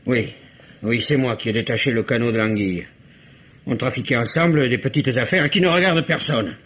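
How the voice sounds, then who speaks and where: A man's voice: medium pitch, fairly quick, fairly bright tone, breathy edge, highs cut off. An actor playing a sly, resentful fisherman and petty smuggler in a 1950s radio drama, talking bluntly.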